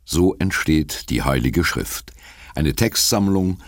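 An actor reading a solemn audiobook narration of ancient myth and history in a deep, gravelly voice. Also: male voice, animated, slow, no echo.

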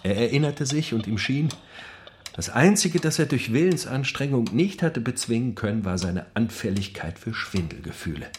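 A man narrating a literary radio play in an expressive, deliberate, theatrical manner. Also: medium pitch, slight background noise, lightly acted.

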